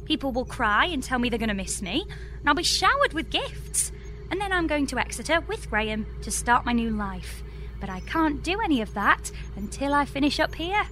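A woman's voice: high-pitched, sing-song, moderate pace, fairly bright tone, a little hiss or hum. A woman playing a young space engineer with a British accent, earnest and exasperated, in a scripted comedy audio drama. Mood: insistent.

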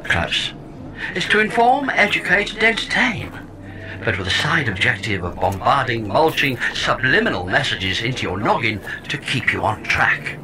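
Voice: mid-range voice, very animated, moderate pace, a crowd in the background, natural voice, poor audio. An actor voicing a sardonic, talkative companion who lives in someone's head, theatrical and mock-grand, in an audio comedy drama. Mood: wry explaining.

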